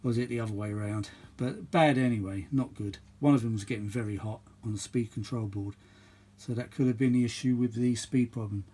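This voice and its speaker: A man's voice: mid-range voice, fairly even intonation, brisk, warm tone, slightly rough. A middle-aged or older man giving casual, chatty repair commentary, rambling and thinking aloud as he works. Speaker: vintage audio repair vlogger.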